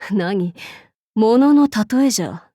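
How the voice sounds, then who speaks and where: A woman's voice: fairly high pitch, expressive, slow, dark tone, no room sound, studio quality. A woman voicing an imperious, haughty demon-king warlord in a mobile game, speaking in pompous, archaic lordly Japanese phrasing. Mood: grand, imperious.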